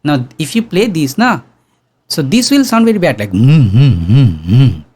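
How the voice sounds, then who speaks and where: A young man teaching sound engineering in an online workshop, talking casually and humming low tones to demonstrate, close to a studio mic in a small room. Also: expressive, measured pace, a little mellow, slightly rough. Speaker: audio engineering instructor. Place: small room with green backdrop. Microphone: studio condenser mic on boom arm.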